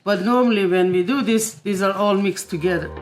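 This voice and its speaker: A woman from Iran demonstrating a family recipe in her kitchen, explaining each step with frequent pauses and filler sounds. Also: mid-range voice, faint music behind. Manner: unhurried, many filler "uh"s. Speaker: home cook. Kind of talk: cooking demonstration. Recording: echoey room.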